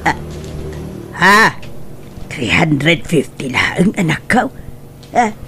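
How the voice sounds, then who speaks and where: Woman acting a slow-talking older Ilocano mother. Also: sits low, big pitch swings, sharp tone, a little hiss or hum, full character voice. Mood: slow, instructing. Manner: drawling, hesitant. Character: older mother, slow and hesitant, giving chores. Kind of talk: Filipino radio drama acting.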